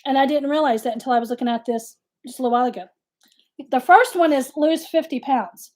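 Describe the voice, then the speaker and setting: A woman's voice: high-pitched, fast talker, darkish tone. A woman in her late forties co-hosting a casual live chat, talking candidly with plenty of joking. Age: late 40s.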